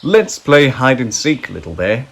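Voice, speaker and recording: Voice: mid-range voice, sing-song, unhurried, vocal fry, fairly bright tone, a little hiss or hum, exaggerated voice. A storybook reader voicing a big, kindly grown-up male bear in a patient, reassuring manner. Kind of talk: read-aloud storybook character voice.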